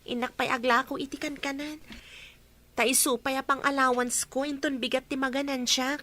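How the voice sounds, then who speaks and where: A polite, earnest young student with an Ilocano accent speaks in a high, bright voice, played by a woman. She sounds dutiful in this radio drama. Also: flat delivery, normal speed. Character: dutiful student daughter Claire.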